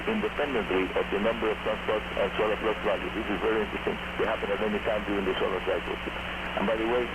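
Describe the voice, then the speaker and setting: A man's voice: on the low side, gravelly. A man from Cuba hosting a scripted technical radio show, heard through a shortwave receiver. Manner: technical, reads at a quick steady clip. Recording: noisy shortwave radio reception.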